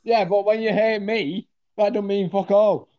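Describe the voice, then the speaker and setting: A man's voice: high voice, steady tone, a little slow. A man joining a livestream by phone call, teasing the hosts and swearing. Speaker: livestream guest. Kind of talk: casual banter. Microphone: video call on phone. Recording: muffled call audio.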